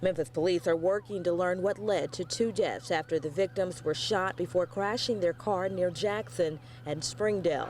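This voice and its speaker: Man, high pitch, news reporter, scripted narration, faint noise.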